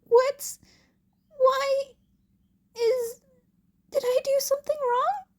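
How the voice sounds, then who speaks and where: A woman's voice: extremely high pitch, steady tone, drawn-out words, a little mellow, pitched above the performer's own voice, heavily acted. A woman voicing an anxious, tearful teenage boy who stammers and gets flustered, in a fan fiction reading. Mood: nervous.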